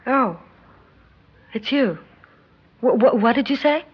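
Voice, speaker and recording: A woman's voice: fairly high pitch, expressive, slow pace, slightly rough, exaggerated voice. Tough, tender American girl athlete, muffled vintage radio. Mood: flustered. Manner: plain-spoken, slangy, emotional. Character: tough, self-doubting young woman athlete with a tender streak. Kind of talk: 1960s radio drama acting with first-person narration. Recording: muffled 1960s radio recording.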